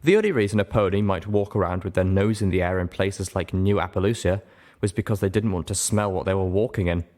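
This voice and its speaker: A man with a fairly low voice narrating a story aloud in an expressive audiobook style. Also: fast talker. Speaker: narrator reading a fan fiction story aloud.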